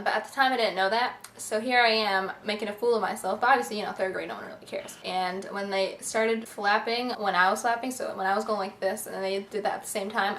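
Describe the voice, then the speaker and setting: A woman's voice: mid-range voice, a bit bright. A young woman in college, born in Egypt and raised in the USA, talking casually through a list of facts about herself with lots of "like" and fillers. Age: young adult. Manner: chatty, bubbly, filler-heavy with lots of "like". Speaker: beauty vlogger. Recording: echoey room, faint hiss.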